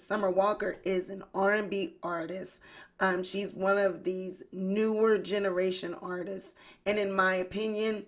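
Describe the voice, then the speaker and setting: A woman hosting her own online channel, talking casually to her viewers in a hoarse voice, addressing them as y'all and you guys. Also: mid-range voice. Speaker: YouTube host. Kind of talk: casual livestream commentary.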